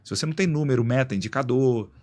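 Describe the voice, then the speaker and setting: A man being interviewed as a guest in a radio studio, explaining in a relaxed, slangy way with many restarts. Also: mid-range voice, fast. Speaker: guest, executive director of an institute.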